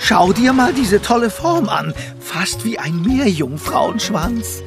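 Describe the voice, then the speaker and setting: A dreamy, enthusiastic talking crane from a children's audio play. The voice is high and swooping in melody, over loud music. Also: male voice, expressive, slow pace, heavily acted. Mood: dreamy insistent. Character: dreamy enthusiastic male crane vehicle who loves treasures. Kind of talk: children's audio drama acting.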